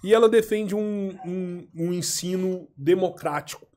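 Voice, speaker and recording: A man's voice: high-pitched, a little slow, darkish tone. Slangy man chatting on a podcast. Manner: informal and slangy, with frequent repeats and restarts. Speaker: podcast host. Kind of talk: casual podcast chat.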